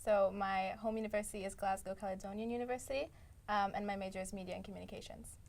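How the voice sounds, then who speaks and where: A woman's voice: mid-range voice, fairly even intonation, fast talker. A young woman from Italy, chatting as a student guest with frequent "um"s. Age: young adult. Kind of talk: casual interview answers.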